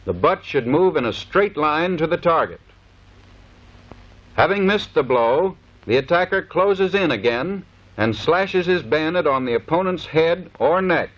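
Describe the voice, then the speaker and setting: A man's voice: on the high side, animated, unhurried, fairly bright tone. An American man giving formal, clipped, scripted instructional narration in the manner of a 1930s military training film. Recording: dull vintage 1930s film soundtrack.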